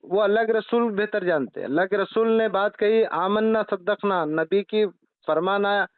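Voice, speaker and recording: A man with a fairly high, clear voice argues insistently in a heated religious debate. He pushes his points and talks over his opponent. The sound is a dry, thin phone call recording. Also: normal speed, dry recording. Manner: argumentative, insistent, interrupting.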